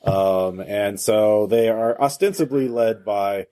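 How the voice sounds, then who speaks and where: Halting, low-voiced man running a game. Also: dark tone. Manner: halting, frequent hesitations. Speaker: game master, host. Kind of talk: unscripted game narration.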